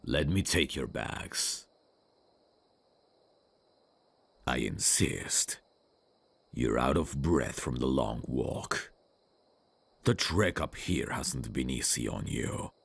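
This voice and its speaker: Very deep, gravelly vampire count. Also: male voice, lively intonation, exaggerated voice. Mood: gallant, insistent. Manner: slow, courtly, old-fashioned, intimate phrasing. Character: suave male vampire count, courtly, seductive, quietly menacing. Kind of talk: ASMR roleplay acting. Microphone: close dry studio mic.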